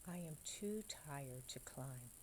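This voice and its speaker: A tired old man, once a boy in a storybook, read out by a woman, speaks plainly and wearily. The voice is low and slow, with a mellow tone and faint noise behind it. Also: animated, full character voice. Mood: tired, resigned.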